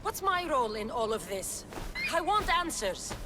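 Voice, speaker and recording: Lively, tough warrior heroine. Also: female voice, high-pitched, normal speed. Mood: defiant. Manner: blunt, challenging. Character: tough, skeptical young female ancient Greek warrior. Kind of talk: video game cutscene acting. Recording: clear background noise.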